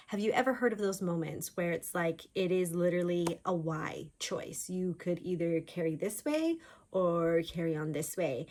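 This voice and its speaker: A woman author talking informally about books, in an animated storytelling way. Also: on the low side. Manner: animated storyteller, voicing characters' thoughts in quotes. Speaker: author discussing own books. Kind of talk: chatty informal book talk.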